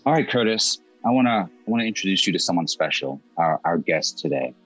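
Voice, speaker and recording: A man hosting a casual interview, introducing a guest in a friendly, halting way with stacked restarts. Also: sits low, expressive, fairly bright tone, no echo, soft background music. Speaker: podcast host. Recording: muffled lo-fi audio.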